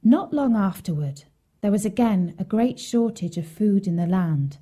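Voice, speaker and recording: A woman's voice: mid-range voice, lively intonation, normal speed, mellow, breathy edge. A woman narrating a scripted bedtime fairy tale as a storyteller for children.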